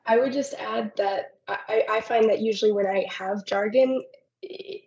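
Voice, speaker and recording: A woman's voice: mid-range voice, fairly even intonation, normal speed, creaky, darkish tone, highs cut off. A woman writer speaking reflectively in a panel conversation.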